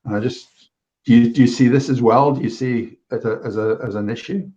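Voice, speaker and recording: A man's voice: medium pitch, steady tone, a little fast, dark tone, dull audio. A man speaking as a guest in a casual discussion, earnest and often restarting phrases. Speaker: guest, STEM ambassador.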